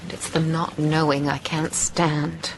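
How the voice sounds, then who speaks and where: A woman's voice: deep voice, level tone, unhurried, creaky. An actress playing an anxious, watchful castaway woman in a radio drama. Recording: noisy outdoor ambience behind.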